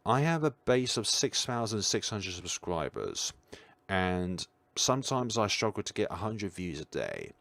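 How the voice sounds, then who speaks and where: A man's voice: on the low side, huge pitch swings, unhurried, creaky, fairly bright tone, no echo. A tired man musing aloud in a casual livestream chat, answering his viewers' questions as he goes. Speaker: streamer.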